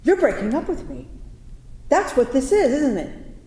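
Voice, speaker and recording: A woman in a staged play reading, playing a sharp-tongued, sarcastic and exasperated young girlfriend in an echoey hall. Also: high-pitched, very animated, measured pace. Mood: furious. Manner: sarcastic, profane, incredulous. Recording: echoey theatre hall, some background noise.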